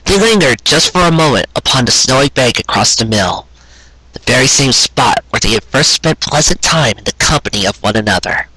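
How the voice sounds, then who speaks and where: A man reading a scripted story aloud in a gentle, measured storybook manner with deliberate pauses. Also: medium pitch, lively intonation, husky, no echo, low-quality recording. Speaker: fan fiction audiobook reader.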